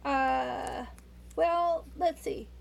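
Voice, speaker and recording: A woman reading a storybook aloud to young children, expressive and sing-song, adding playful asides straight to the listener. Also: sits high, very slow, vocal fry.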